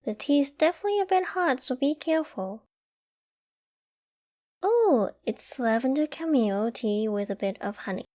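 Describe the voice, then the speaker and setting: A doting young girlfriend, acted by a woman in a comforting ASMR roleplay. Her voice sits fairly high yet is very mellow and warm. She speaks tenderly and intimately, cooing with affection and gently teasing her partner. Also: lively intonation, moderate pace. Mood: tender, reassuring.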